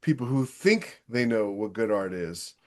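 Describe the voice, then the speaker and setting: A man's voice: fairly low pitch, expressive, a little slow, a little mellow. A man hosting a casual podcast chat, giving opinionated, emphatic advice as an author.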